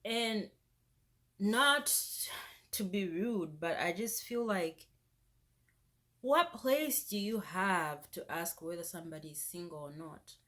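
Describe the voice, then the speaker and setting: Slow-talking woman, bright edge. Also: fairly low pitch. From Zambia. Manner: animated, indignant, rises sharply when exasperated. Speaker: vlogger. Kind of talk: casual ranting vlog.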